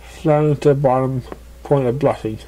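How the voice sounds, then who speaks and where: Mellow-voiced man reading a story haltingly. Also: mid-range voice, fairly even intonation, rough recording. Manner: halting, stumbling delivery. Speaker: story reader. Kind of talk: amateur narration of a fan fiction story.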